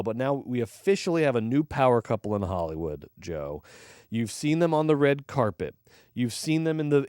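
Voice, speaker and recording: A man's voice: mid-range voice, a little mellow, no room sound, studio quality. A man co-hosting a movie podcast, talking casually with lots of fillers, restarts and swearing. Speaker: podcast co-host.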